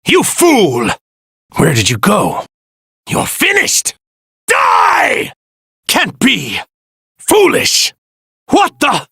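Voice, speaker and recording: A man voicing an arrogant evil god in a stolen warrior's body, speaking formal, grandiose video game lines in a husky, gravelly voice. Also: sits high, huge pitch swings, extremely slow, pauses often, bright, forceful tone, dry recording, studio quality, heavily acted. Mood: battle fury. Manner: pompous, grandiose, formal, archaic phrasing.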